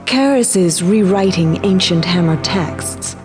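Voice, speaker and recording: An actress playing a powerful, proud pagan leader in a video game cutscene, speaking in a measured, formal, imperious way. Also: female voice, fairly low pitch, lively intonation. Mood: grave, businesslike. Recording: music bed behind studio voice. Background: music.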